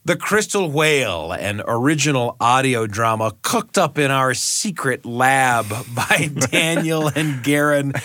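Halting male radio host, close and dry. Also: mid-range voice, animated, bright, forceful tone, no room sound. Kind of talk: studio conversation with guests. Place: radio studio. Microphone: studio microphone.

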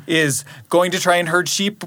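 A man talks casually in a studio discussion. His voice sits a bit high for a man, and he hesitates as he looks for words, with a close, dry sound. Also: fairly even intonation, normal speed, bright, forceful tone, no echo. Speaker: radio producer and panelist.

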